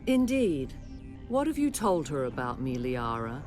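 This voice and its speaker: Imperious alien matriarch villain, deep-voiced. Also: female voice, sing-song, measured pace, a little mellow, exaggerated voice. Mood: menacing, haughty. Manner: formal, grandiose, menacing. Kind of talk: dramatic video game cutscene acting. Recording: prominent dramatic music behind the voice.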